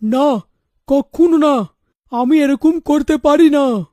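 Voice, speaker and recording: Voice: sits high, slow pace, a little mellow, no echo, clean studio sound. An actor playing a troubled, protective middle-aged father in a cartoon, grave and insistent in manner. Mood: troubled, reluctant. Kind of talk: animated story dialogue.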